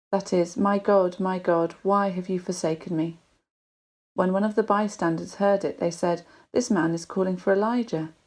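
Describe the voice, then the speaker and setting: Low-pitched woman reading scripture, steady and even. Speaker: scripture reader. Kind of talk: solemn scripted Bible reading for a church podcast.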